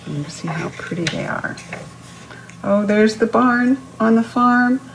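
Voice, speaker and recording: Lady reading a kids picture book slowly, explains stuff and asks questions, bit of background noise. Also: fairly high pitch, lively intonation, vocal fry, low-quality recording. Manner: instructive, asks the listener questions and explains words. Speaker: children's read-aloud host. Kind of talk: reading a picture book aloud to young children.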